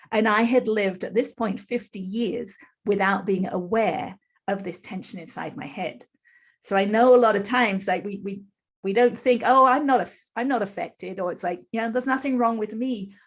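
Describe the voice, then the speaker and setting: A woman in her fifties, a podcast guest and spiritual teacher, explaining her experience in an earnest, flowing way. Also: mid-range voice. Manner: earnest, explanatory, speaks in long flowing runs. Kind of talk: conversational storytelling interview.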